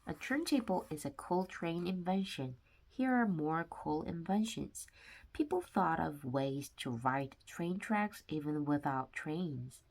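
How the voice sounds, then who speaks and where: Woman, low pitch, slow pace, children's book read-aloud, deliberate phrasing. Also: a little mellow.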